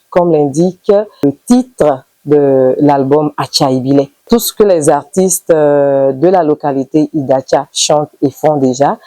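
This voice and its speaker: A young woman singer from Benin, whose first language is Idaasha, answers questions in a measured, pausing way. Her voice sits low and mellow. The sound is dry and close. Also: no room sound. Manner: measured, with pauses and fillers. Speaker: singer being interviewed. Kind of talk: interview answers.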